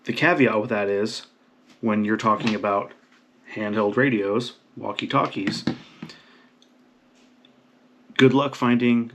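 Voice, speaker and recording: A man's voice: on the low side, fairly even intonation, a little slow, noisy background. A man hosting an informal how-to talk, speaking emphatically and often checking in with the listener. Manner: emphatic, checks in with "right?" and "okay?". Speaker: video host explaining radio basics. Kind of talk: informal instructional talk to camera.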